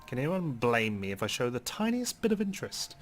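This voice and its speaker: A man's voice: mid-range voice, very animated, brisk, soft background music. A man hosting a game playthrough, reading a visual novel's first-person narration in a dry, theatrical way. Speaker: let's play host.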